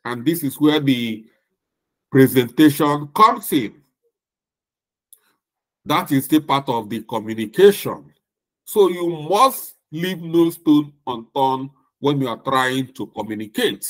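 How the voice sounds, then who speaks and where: A middle-aged man from Nigeria with a Nigerian accent, giving a recorded lecture in a slow, deliberate way with emphatic repetition. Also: medium pitch, expressive.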